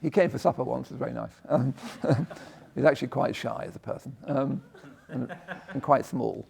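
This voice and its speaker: A man with a croaky voice giving a conversational Buddhist talk, often checking in with "yeah?" and breaking off his sentences. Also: medium pitch, moderate pace, darkish tone. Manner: conversational, tag questions, self-interrupting. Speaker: Buddhist teacher. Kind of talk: dharma talk. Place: meditation hall.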